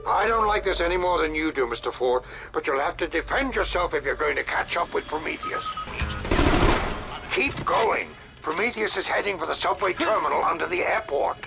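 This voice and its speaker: An actor playing an authoritative male commander giving formal, measured mission briefings in a video game. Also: fairly high pitch, lively intonation, vocal fry. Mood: urgent. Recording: loud music under the voice.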